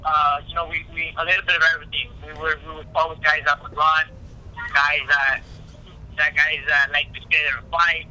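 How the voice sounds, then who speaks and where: A man speaking as a boxer in a casual phone interview, halting and repeating himself, with frequent "you know". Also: on the high side, little pitch movement, pushed and bright. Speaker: professional boxer being interviewed. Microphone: telephone call. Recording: tinny phone line.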